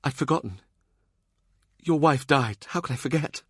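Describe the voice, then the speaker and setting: Casting: man playing a young noble widow and mother, higher-pitched, aggrieved yet wistful, for a historical novel audiobook. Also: normal speed, slightly rough, no echo, studio quality. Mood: flustered.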